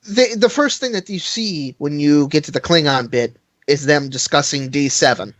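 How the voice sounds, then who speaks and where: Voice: medium pitch, normal speed, no room sound. A podcast panelist in a casual fan discussion, explaining opinions in a conversational way.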